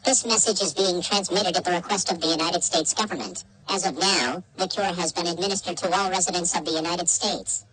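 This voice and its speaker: A woman reading a scripted emergency broadcast announcement in a formal, measured, synthetic manner. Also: lowish voice, background noise.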